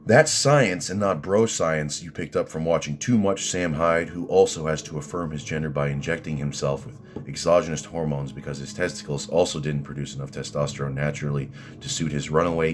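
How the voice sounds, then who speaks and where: A man with a deep voice, ranting at his critics in rambling, combative commentary full of restarts and fillers. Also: animated, normal speed, a little hiss or hum. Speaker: vlogger answering critics.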